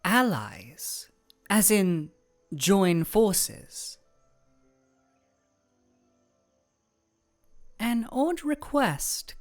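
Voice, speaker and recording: Woman playing a cool ice royal, expressively pitched. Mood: surprised, considering. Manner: deadpan, measured, formal, teasing. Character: cool, composed young ice royal with dry wit. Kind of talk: scripted fantasy audio roleplay.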